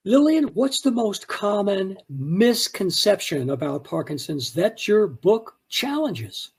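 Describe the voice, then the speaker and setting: A man's voice: sits high, very animated, slow pace, gravelly, breathy edge. A man hosting an interview, introducing a guest in a formal, courteous way. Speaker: host.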